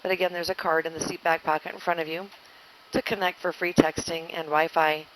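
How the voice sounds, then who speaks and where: A woman's voice: low-pitched, little pitch movement, brisk, fairly bright tone. A woman flight attendant giving an inflight announcement over the cabin PA of an airliner. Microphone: cabin PA handset. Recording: noisy airplane cabin PA.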